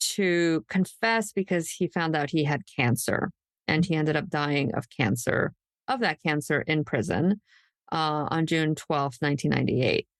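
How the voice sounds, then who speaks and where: Female true crime co-host, slightly low voice, conversational storyteller, very dry recording. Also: no echo.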